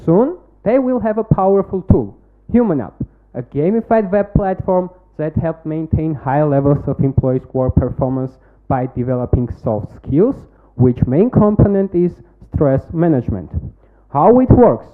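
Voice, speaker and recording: A man with an Armenian accent, a native Armenian speaker, pitching a startup and then answering questions in halting English with many fillers. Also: animated. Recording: tinny phone-like sound.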